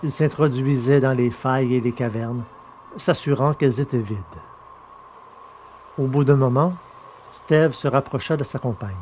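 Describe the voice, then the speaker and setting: A man's voice: medium pitch, a little mellow, a little hiss or hum. Man narrating a story. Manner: measured, descriptive. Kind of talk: scripted narration.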